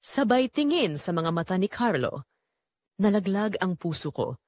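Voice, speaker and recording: A woman's voice: mid-range voice, big pitch swings, moderate pace, a little mellow, dry recording, studio quality. A woman narrating a dramatic first-person story in an emotive way.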